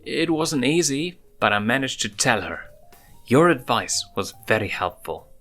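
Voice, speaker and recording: A man's voice: mid-range voice, sing-song, a little slow, sharp tone, faint music behind, pitched above the performer's own voice. A man voicing an earnest, cheeky young lion cub prince with a boyish, teasing manner in a storybook reading. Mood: proud, grateful.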